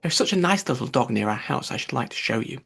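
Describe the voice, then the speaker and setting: A man voicing a polite, chatty little girl in a storybook, earnest and quick to apologise. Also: mid-range voice, lively intonation, vocal fry, a bit bright, husky, exaggerated voice. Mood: fond, chatty.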